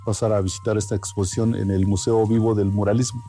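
A Mexican-accented man whose first language is Mazatec, talking in a mellow, warm voice. He speaks reflectively as a radio guest, with faint music underneath. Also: on the low side, flat delivery, fast. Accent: Mexican Spanish. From Oaxaca, Mexico. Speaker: guest artist. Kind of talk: radio interview.

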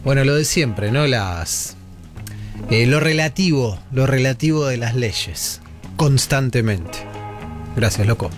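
A man's voice: mid-range voice, animated, slow pace. An Argentine man hosting a radio show, chatting casually with listeners in an informal, rambling way. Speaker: radio host. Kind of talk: casual late-night chat with listeners. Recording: music playing behind. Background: music.

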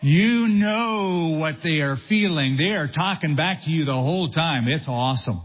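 A man preaching an expository sermon as a pastor, with a husky voice and deliberate, emphatic phrasing built on rhetorical questions. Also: a little high, animated, highs cut off.

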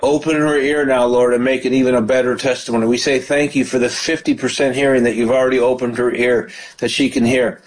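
Fervent radio pastor praying aloud. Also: male voice, medium pitch, steady tone, a little fast, few pauses, rough recording. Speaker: radio pastor and call-in host. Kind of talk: live call-in prayer broadcast.

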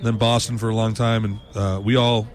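Relaxed low-voiced musician. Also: male voice, brisk. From New England. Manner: relaxed, rambling storyteller. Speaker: blues musician. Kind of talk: casual interview answers.

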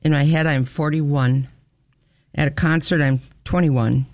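A woman in her seventies co-hosting a radio show, chatting and reminiscing in a relaxed, friendly way from the studio. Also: sits low, unhurried, dry recording. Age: 70s. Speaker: radio co-host. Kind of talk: casual radio chat.

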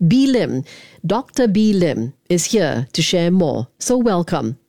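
A woman's voice: lowish voice, big pitch swings, slow, dark tone, no room sound, clean studio sound. A woman hosting a radio interview, reading a prepared introduction and then asking questions more loosely with some fillers. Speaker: radio host.

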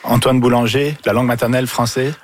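A man's voice: mid-range voice, little pitch movement, fast. A man from North Africa hosting a radio panel discussion, conversational and asking his guests questions. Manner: conversational, asks questions, frequent ouais. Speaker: radio host.

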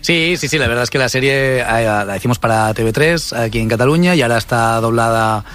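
A man's voice: mid-range voice, brisk, a bit bright. A man who directs and writes a TV series, chatting on the radio in a jokey way and laughing easily. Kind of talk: casual radio chat.

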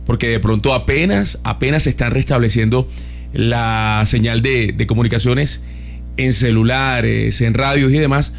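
A man's voice: medium pitch, moderate pace, vocal fry. A man with a Colombian accent, co-hosting live radio in casual banter. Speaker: radio co-host. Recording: music playing behind the voice. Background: background music.

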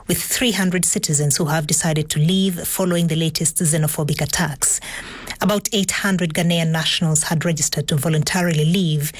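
A woman presenting a news program, reading items and interviewing guests in a measured way. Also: fairly low pitch, no room sound. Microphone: studio mic.

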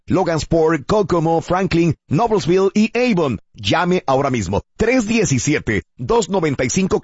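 A man with a husky, lively voice reads a persuasive radio commercial and lingers on the phone number. The sound is dry and dull, as if from a booth. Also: dry recording. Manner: warm, persuasive pitch with slow repeated phone numbers. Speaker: ad announcer.

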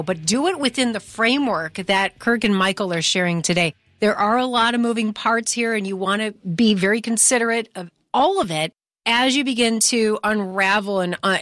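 A woman's voice: mid-range voice, fairly bright tone. A woman with an American accent hosting a radio show and reading out promotional details in a studio.

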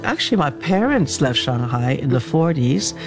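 A woman's voice: low-pitched, big pitch swings, moderate pace, music in the background. A woman in her seventies from Hong Kong, a doctor reflecting as an interview guest, who laughs often as she recounts memories. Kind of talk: reflective conversational storytelling.

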